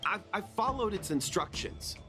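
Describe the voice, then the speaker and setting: A man playing a dazed, bewildered soldier in a video game, stammering and halting as he recounts events. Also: high voice, lively intonation, over music. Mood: bewildered, shaken. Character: shaken, bewildered young male soldier recovering from possession. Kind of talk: dramatic video game dialogue.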